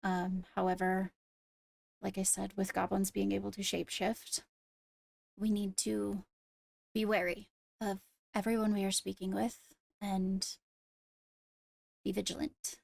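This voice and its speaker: A woman playing a poised royal ruler in an improvised game roleplay, speaking formally and carefully with pauses to find words. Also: medium pitch, flat delivery, gravelly, darkish tone, no echo. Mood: grave, measured. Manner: measured, formal, hesitant between phrases. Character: poised female royal ruler addressing her court. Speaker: leader of the meeting.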